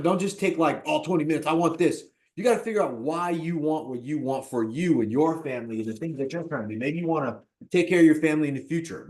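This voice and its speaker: A man of fifty talking casually about personal finance as an investor, animated, repeating points and checking in with "right?". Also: a little high. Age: 50s. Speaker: real estate investor.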